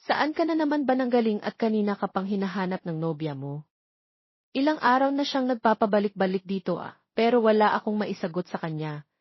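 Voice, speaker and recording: A woman's voice: medium pitch, animated, brisk, slightly rough, no room sound, highs cut off. A woman voicing a stern, protective middle-aged mother scolding her grown son in an audio drama. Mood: angry scolding.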